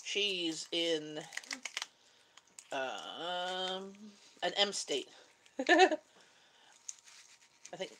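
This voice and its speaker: A woman's voice: lowish voice, big pitch swings, extremely slow, pauses often. Chatty Canadian woman, faint background noise. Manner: chatty, giggly. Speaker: live stream host, mother. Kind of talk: casual live chat with viewers while cooking.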